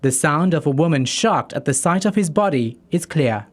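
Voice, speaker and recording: A man's voice: on the high side, lively intonation, normal speed, background noise. A man working as a correspondent, narrating a scripted news report.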